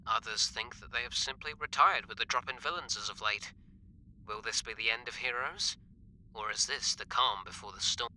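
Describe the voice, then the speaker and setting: A man voicing a formal, measured news reporter reading a dramatic scripted bulletin in an audio drama. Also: mid-range voice, sharp tone, slight background noise, rough recording. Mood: serious. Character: male news reporter giving a breaking bulletin.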